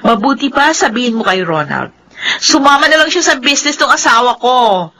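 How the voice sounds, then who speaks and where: A woman's voice: a little high, animated, a little fast, bright, forceful tone, full character voice. An actress in a radio play voicing a boastful, well-off woman who gushes about her husband's money. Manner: boastful, gushing. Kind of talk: radio drama acting.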